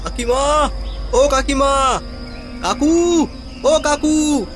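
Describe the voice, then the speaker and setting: A man plays an alarmed young cartoon villager in a very high voice. He calls out to his elders in slow, stretched words, with loud music behind. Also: heavily acted. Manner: drawn-out calling.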